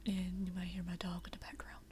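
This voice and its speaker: A young woman whispering quietly at night in a living room, chatting unscripted with many fillers and restarts. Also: fairly low pitch, completely flat delivery, fairly quick, slight background noise. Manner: rambling with frequent fillers and restarts. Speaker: VRChat vlogger. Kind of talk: unscripted casual chat.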